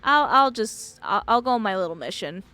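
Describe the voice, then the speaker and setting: Lively woman streaming a story game. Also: mid-range voice, normal speed. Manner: chatty, hesitant, thinking aloud. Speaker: streamer playing a visual novel. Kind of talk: casual game commentary mixed with reading dialogue aloud.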